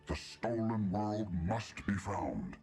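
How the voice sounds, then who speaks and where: An actor voicing an ancient, solemn, all-seeing being in a fantasy game, gravelly and portentous, with deliberate delivery. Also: male voice, lowish voice, big pitch swings, darkish tone, full character voice. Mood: solemn, foreboding. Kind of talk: scripted fantasy game dialogue. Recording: game audio over background music.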